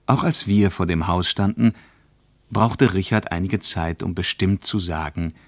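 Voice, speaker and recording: A male actor playing a reflective traveller who reads his travel diary aloud as scripted radio narration, in a measured, literary manner. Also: low-pitched, no echo.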